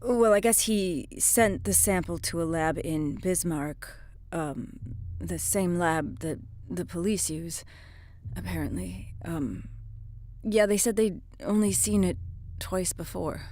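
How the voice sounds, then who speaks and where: A woman playing a guarded, rueful policewoman in her thirties in an audio drama, with a hesitant, halting delivery. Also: on the low side, a little mellow. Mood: grave. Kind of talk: naturalistic audio drama acting.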